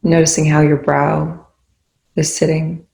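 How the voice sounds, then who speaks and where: A meditation instructor speaking in a soothing, unhurried way with long pauses, guiding a body awareness practice. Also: medium pitch, level tone, vocal fry, a little mellow. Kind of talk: guided meditation. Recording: echoey room.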